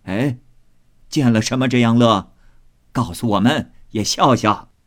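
A man's voice: mid-range voice, unhurried, close to an everyday voice. A man voicing a jolly, indulgent old matriarch in a storybook, amused and doting. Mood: curious amused.

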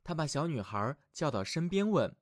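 Male storyteller, fairy-tale narration for kids, expressive, very varied intonation, close dry mic. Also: fairly high pitch, moderate pace, no echo. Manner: expressive, addresses child listener affectionately. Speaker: children's storyteller, host. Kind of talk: scripted fairy-tale narration for small children.

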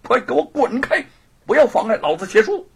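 A man performs a condemned scholar from a storybook, proud and defiant. His voice is very husky and swings widely in pitch. He speaks with haughty, deliberate emphasis. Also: huge pitch swings, exaggerated voice. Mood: indignant.

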